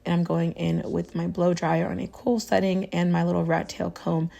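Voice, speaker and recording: A young woman with a hoarse, raspy voice from tonsillitis, talking through a hair tutorial in a casual, chatty way with lots of "you know.". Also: fairly low pitch, normal speed, lo-fi sound. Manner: chatty, relaxed, full of fillers like "you know". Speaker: beauty vlogger.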